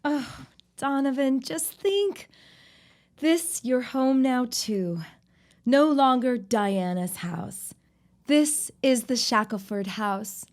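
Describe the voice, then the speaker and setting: A woman acting a proud young bride in an audio drama, with an earnest, deliberate and emphatic delivery. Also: high-pitched, expressive. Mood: tender, hopeful. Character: proud, indignant young bride standing her ground.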